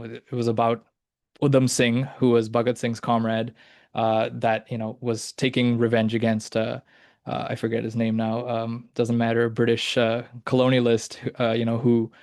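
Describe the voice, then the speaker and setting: A man's voice: medium pitch, vocal fry, a little mellow, dry recording. A young man hosts a podcast discussion, stumbling through his points with frequent fillers and restarts. His voice stays level in a middle register and barely rises or falls. The sound is dry and close, with no room around it. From Hong Kong and mainland China. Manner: halting, many fillers and restarts. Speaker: podcast host. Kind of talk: casual discussion.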